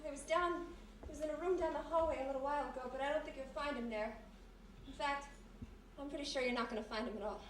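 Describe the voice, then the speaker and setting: An actress playing a quick-thinking, wry former vaudevillian who assists a detective in a 1930s-style radio mystery. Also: female voice, sits high, steady tone, lightly acted. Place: live performance in a historic house. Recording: echoey live stage, distant mic, clear noise.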